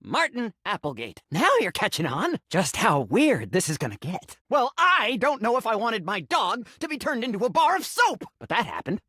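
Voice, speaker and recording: Melodramatic, wildly swooping cursed talking sink. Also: male voice, sits high, moderate pace, hardly any pauses, breathy edge, dry recording, studio quality, exaggerated voice. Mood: earnest, theatrical, then flustered. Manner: theatrical, rambling, deadpan absurd storytelling. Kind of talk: video game character voice acting.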